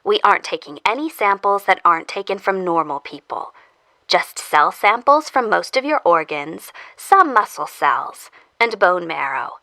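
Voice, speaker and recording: Actress as persistent scientist for audio fiction: clinical, matter-of-fact, bright forward tone, close dry sound. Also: female voice, on the low side, very animated, normal speed, no echo, heavily acted, poor audio. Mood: reassuring, clinical. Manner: matter-of-fact, with delicate hesitations. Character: clinical, persistent woman researcher studying zombie infection.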